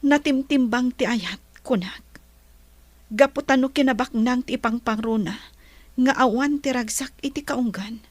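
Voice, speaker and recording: A woman's voice: on the high side, normal speed, no room sound, close to an everyday voice. A woman playing a wealthy lady in a radio drama, speaking earnestly. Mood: reflective. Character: wealthy woman Annabel, worried but reflective.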